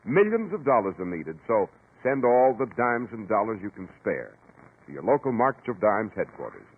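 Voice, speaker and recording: A man's voice: on the low side, big pitch swings, normal speed, husky. A man with an American accent announcing in formal, emphatic 1940s scripted radio style. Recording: tinny 1940s broadcast over organ music. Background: loud-ish organ music.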